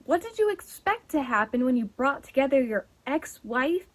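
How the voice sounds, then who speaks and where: Woman as a high-voiced, sharp-tongued feuding actress. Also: sing-song, moderate pace. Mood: bitter, sniping. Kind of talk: 1940s radio comedy play acting.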